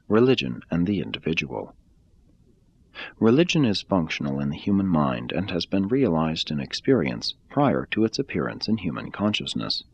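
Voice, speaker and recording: A man reading a religious text aloud in a measured, deliberate way. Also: sits low, creaky, breathy edge, no echo. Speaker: reader.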